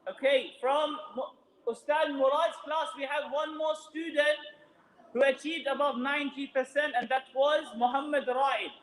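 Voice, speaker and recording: A man announcing prizes to children at a mosque ceremony, calling out names loudly and projecting his voice over the room. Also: very high voice, fairly even intonation, a little slow. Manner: loud, projecting over a crowd. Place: mosque hall. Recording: echoey hall.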